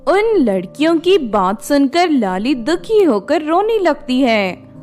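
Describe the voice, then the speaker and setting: A woman's voice: high voice, sing-song, takes it slowly, darkish tone, faint music behind. A woman reading a scripted children's story as its narrator.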